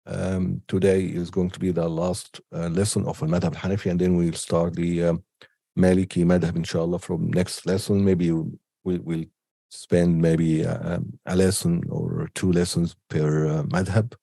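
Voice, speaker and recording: A man giving an unscripted online lecture with a cold, halting often with ums and restarts. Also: deep voice, level tone, creaky, warm tone, no room sound. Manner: hesitant, many ums and restarts. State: has a cold. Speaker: teacher.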